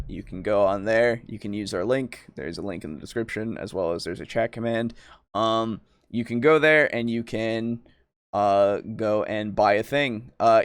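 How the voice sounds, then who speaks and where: Clear, dry-sounding male livestream host. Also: medium pitch, fairly bright tone, dry recording. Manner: informal, profane, rambling. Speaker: show host. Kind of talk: casual livestream chat.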